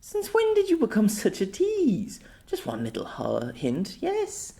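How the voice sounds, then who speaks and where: A man's voice: very high-pitched, huge pitch swings, a little slow, vocal fry, dark tone, voice pushed up, full character voice. A man voicing a playful, teasing young woman adventurer who coos and pouts, in an audiobook story. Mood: playful pleading. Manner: cooing, pouting, cheeky.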